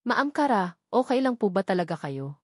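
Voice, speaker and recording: A woman's voice: medium pitch, big pitch swings, moderate pace, darkish tone, dry recording, clean studio sound. A woman voicing a deferential, concerned female office worker in a narrated story. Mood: worried. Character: polite, worried female office employee.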